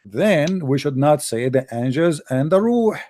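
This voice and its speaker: A man's voice: fairly high pitch, lively intonation, measured pace, dark tone. A man with an Arabic accent, a native Arabic speaker, pressing his points in a heated debate and repeating himself when interrupted.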